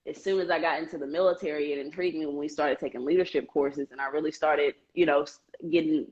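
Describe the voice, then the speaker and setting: A woman's voice: deep voice, fairly even intonation, quick pace, a little mellow, highs cut off. A young woman being interviewed as a guest over a video call, telling her story earnestly with frequent "um"s and "you know"s. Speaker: guest, Air Force member. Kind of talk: casual interview chat.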